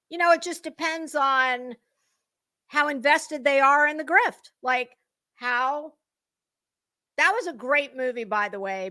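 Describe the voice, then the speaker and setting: A woman hosts an informal gossip commentary in a fairly high, dry-sounding voice. She takes her time, circling back through her opinions in halting stretches. Key points land with emphasis and the odd mild curse. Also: bright, forceful tone, no echo. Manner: rambling, halting, emphatic, mildly profane. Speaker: host of a gossip commentary livestream. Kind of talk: casual opinionated commentary to viewers.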